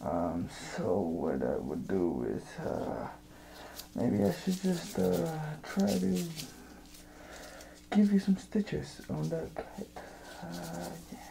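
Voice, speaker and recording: A man playing a creepy, unhinged evil jester in an ASMR horror roleplay, muttering and rambling haltingly, often dropping to a whisper. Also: fairly high pitch, sing-song, creaky, a crowd in the background, full character voice, rough recording. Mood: dithering, menacing.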